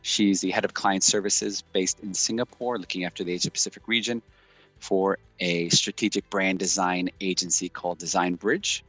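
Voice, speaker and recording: A man's voice: sits low, fairly even intonation, normal speed, fairly bright tone, no room sound, soft background music, poor audio. A man hosting a semi-formal interview, introducing his guest and putting questions. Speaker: interviewer, host.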